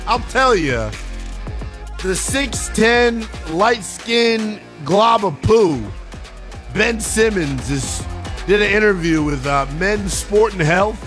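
A man's voice: sits high, expressive, slow pace, a bit bright, soft background music. A man hosting a sports talk radio show, joking and playful with callers and his co-host.

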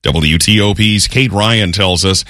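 A man reading the news as a radio station anchor, with a measured newsreader delivery from a studio. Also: on the low side, sharp tone, dry recording. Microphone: studio microphone. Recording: dry close studio sound.

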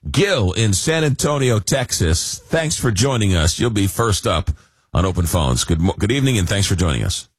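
A man with a very deep, husky voice hosts a call-in radio show, a little hoarse from a cold. He rambles casually, full of "uh"s, with a dry sense of humor. The studio sound is close and dead, with no room echo. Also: very animated, moderate pace. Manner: rambling, many fillers, dry joking. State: fighting a cold, losing his voice. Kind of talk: casual late-night call-in radio talk.

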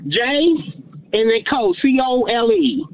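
A man's voice: very high-pitched, lively intonation, a little slow. A man in his fifties calling in to a radio show, chatty and enthusiastic. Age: 50s. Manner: enthusiastic, chatty, tells stories. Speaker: caller. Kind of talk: phone-in chat. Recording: tinny phone line.

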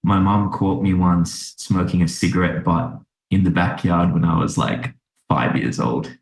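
A man's voice: deep voice, fairly even intonation, a little slow, vocal fry, reverberant. A man from Sri Lanka, a doctor, giving reflective interview answers with many fillers and restarts. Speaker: podcast guest, doctor.

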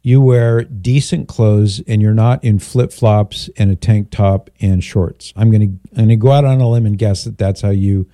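Mellow low-voiced radio host, dry studio. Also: male voice, fairly even intonation, dry recording. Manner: measured, pastoral, gently persuasive. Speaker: radio call-in show host. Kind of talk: call-in advice talk.

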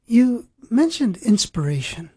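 A woman hosting a faith radio program, introducing and interviewing a guest in an earnest, gently devotional way. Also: a little high, sing-song, unhurried, husky, dry recording. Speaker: host.

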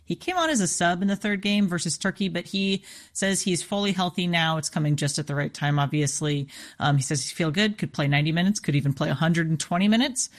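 Woman reporter, low conversational voice. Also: fairly quick. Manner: conversational, explanatory. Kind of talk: live news conversation.

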